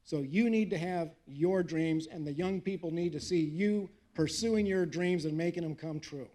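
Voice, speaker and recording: A man's voice: on the high side, normal speed, mellow. An older man giving a fiery patriotic speech at a live rally, emphatic and preacherly, with wry asides to the audience. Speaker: guest speaker at a political rally. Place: live event in front of an audience.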